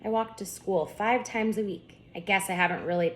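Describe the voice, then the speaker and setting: A woman voicing an excitable, curious young schoolgirl in a storybook, eager and earnest. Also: mid-range voice, moderate pace, a little hiss or hum, close to an everyday voice. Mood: sheepish. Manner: eager, wide-eyed, earnest.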